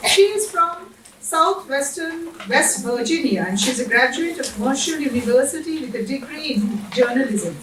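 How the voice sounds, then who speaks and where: A woman moderating an event who reads out a formal introduction of the guest speaker at a steady pace. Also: sits high, normal speed, hardly any pauses. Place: large indoor event room. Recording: echoey room, distant mic, clear background noise.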